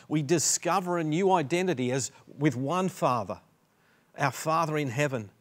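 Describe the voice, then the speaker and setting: A man in his sixties with an Australian accent, preaching a sermon earnestly with many restarts from a dark studio set. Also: on the high side. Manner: earnest, gesturing, frequent restarts and repeated words. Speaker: pastor.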